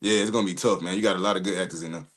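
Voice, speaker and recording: A man's voice: low-pitched, fairly even intonation, racing, fairly bright tone. A male actor guest chatting in a laid-back, slangy way with many "man" fillers. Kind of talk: casual interview chat.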